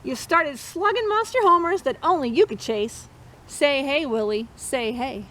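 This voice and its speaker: Woman, high voice, interactive picture-book read-aloud for kids, encouraging; slightly noisy recording. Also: expressive, measured pace, a bit bright. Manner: encouraging, chanting refrains for listeners to repeat. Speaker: storytime host reading a picture book to children.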